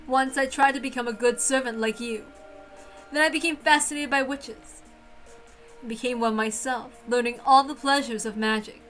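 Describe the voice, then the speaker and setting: A woman's voice: high voice, steady tone, normal speed, fairly bright tone, faint music behind, low-quality recording. A woman voicing a grand, possessive witch in formal, theatrical speech, lonely and insistent, as in a visual novel reading. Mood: grand, inviting.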